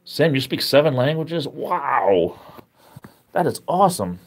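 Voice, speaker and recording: A tired middle-aged man hosting a casual live stream, reading the chat aloud, relaxed and chatty and easily amazed. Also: medium pitch, unhurried.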